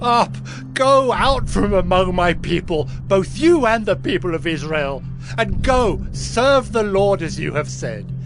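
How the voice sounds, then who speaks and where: An anguished, furious Egyptian ruler in a biblical audio drama. His voice is high and strained, issuing slow, imperious orders over prominent music. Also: lively intonation. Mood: anguished, angry. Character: Pharaoh, anguished angry male Egyptian king. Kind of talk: dramatized scripture acting.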